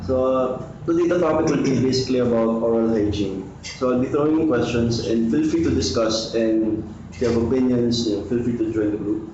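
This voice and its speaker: A man's voice: mid-range voice, fairly quick, a little mellow. Man moderating a focus group. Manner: polite, uses fillers while posing questions. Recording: echoey room, distant mic, lo-fi, light noise.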